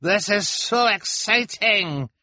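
A man voicing an excitable, bossy male spectator who talks shrilly. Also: normal speed, no room sound. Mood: overexcited. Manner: shrill, overexcited.

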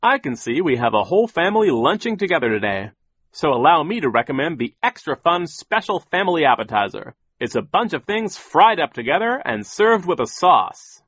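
A man's voice: fairly high pitch, sing-song, normal speed, a bit bright, breathy edge, no echo, voice pushed up, heavily acted. A man voicing a short, skinny, goofy clown waiter with relentlessly chirpy sales patter, in an audiobook story.

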